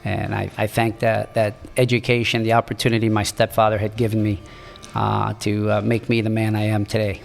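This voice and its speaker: A man in his sixties from Morocco, a native French speaker, telling his life story as a podcast guest in a halting, earnest way. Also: on the low side, completely flat delivery, vocal fry, in a large hall. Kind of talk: reflective storytelling in an interview.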